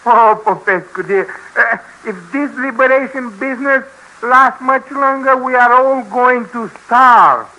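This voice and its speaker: A man's voice: extremely high pitch, unhurried, bright, forceful tone, highs cut off, exaggerated voice. An actor playing a naive, anxious, questioning Romanian man who stammers and repeats words in a 1950s radio sketch. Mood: mournful. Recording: vintage 1950s radio recording.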